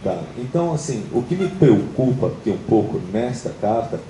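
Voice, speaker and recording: A man with a Brazilian Portuguese accent chairing a creditors' meeting, speaking in measured, hedging formal remarks and often checking in with "tá?". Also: mid-range voice, sing-song, mellow. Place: meeting room. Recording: roomy, distant mic, noisy.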